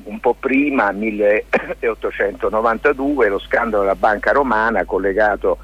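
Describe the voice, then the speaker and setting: Very husky, low-voiced man explaining haltingly. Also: dull audio. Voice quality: husky, rough. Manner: halting, discursive explaining with many hesitations. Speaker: lawyer and theatre maker, interview guest. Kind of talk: informal spoken explanation in a radio interview.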